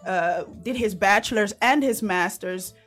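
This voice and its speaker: A woman's voice: mid-range voice, a little slow, a bit bright. Young Dutch-native woman, casual and chatty, talking over faint background music. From Netherlands. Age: young adult. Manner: chatty, laughs while talking. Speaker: guest, web-series co-creator. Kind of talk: casual interview chat.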